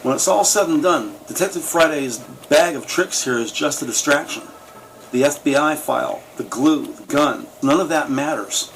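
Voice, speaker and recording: A man's voice: fairly high pitch, normal speed, vocal fry, a bit bright, slight background noise, poor audio. A man narrating a scripted crime audio drama with vivid, slightly wry storytelling.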